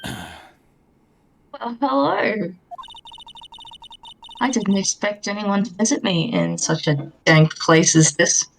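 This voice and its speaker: Woman voicing a creaky, bitter, mock-courteous prisoner. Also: mid-range voice, expressive, slow pace, long pauses. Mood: mock-polite. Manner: formal, theatrical, sneering. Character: bitter jailed character, mock-courteous then venomous. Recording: noisy live voice-chat stream.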